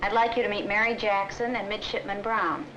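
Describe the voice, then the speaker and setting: A woman's voice: medium pitch, fairly even intonation, brisk, reverberant, close to an everyday voice. A woman playing a polite, sociable lady making introductions in a 1960s training film. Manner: courteous. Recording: dull, noisy 1960s film soundtrack.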